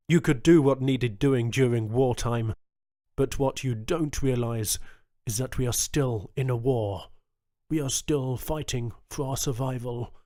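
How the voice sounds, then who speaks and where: A man's voice: mid-range voice, no room sound, studio quality, close to an everyday voice. A man voicing a furious, commanding female colony governor who leads pirates. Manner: forceful, commanding.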